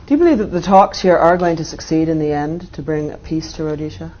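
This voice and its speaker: A woman's voice: low-pitched, a little fast, darkish tone. A woman interviewer putting direct, probing questions in a formal news interview. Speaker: interviewer, journalist. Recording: dull archive interview audio.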